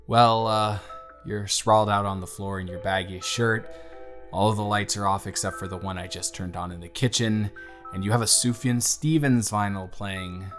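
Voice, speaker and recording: A man playing a tender, reassuring young boyfriend in an intimate roleplay audio, speaking in a gentle, affectionate, soothing way. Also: fairly low pitch. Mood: gently concerned. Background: instrumental music.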